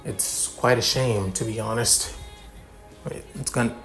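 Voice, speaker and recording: Casting: male narrator as composed female hero, soft-mannered and sorry, halting condolences, storytelling over light music. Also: mid-range voice, fairly even intonation. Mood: apologetic. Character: calm, apologetic woman government hero offering condolences.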